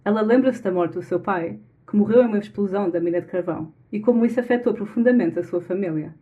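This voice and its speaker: A woman's voice: medium pitch, fast talker. Portuguese woman on a tinny line. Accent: European Portuguese. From Lisbon, Portugal. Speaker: podcast co-host. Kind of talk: casual podcast chat, summarizing a chapter.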